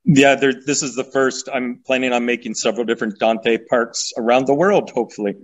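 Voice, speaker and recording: A man, a sculptor, talking in a halting, thoughtful way as a guest on a video call, often repeating words. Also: medium pitch, steady tone. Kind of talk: casual interview over video call.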